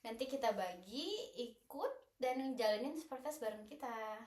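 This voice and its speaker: A woman's voice: fairly high pitch, expressive, a little slow, a little mellow, slight background noise. A young woman, chatting casually and playfully as a podcast co-host. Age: young adult. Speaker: student association podcast co-host. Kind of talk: casual group chat. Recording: roomy, echoey indoor recording.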